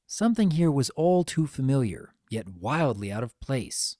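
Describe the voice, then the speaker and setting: A narrator reading a story aloud with dramatic expression. The pitch moves widely through the phrases, and the recording is close and dry. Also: sing-song, moderate pace, dark tone, no echo.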